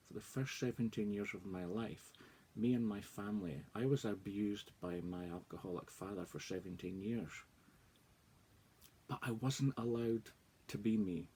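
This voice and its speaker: A man's voice: fairly low pitch, a little mellow, slight background noise. A Scottish man in his forties, a life coach, speaking candidly and reflectively in a personal vlog. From northeast Scotland. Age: 40s.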